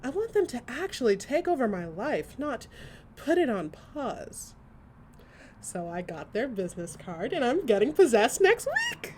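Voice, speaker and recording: Woman acting excitable gossipy friend, very animated swooping delivery, theatrical emphasis, comic audio drama monologue, noisy ambience behind. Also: fairly high pitch, unhurried. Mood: weary, opinionated, then giddy. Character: chatty, excitable woman gossiping to a dear friend, supernatural comedy.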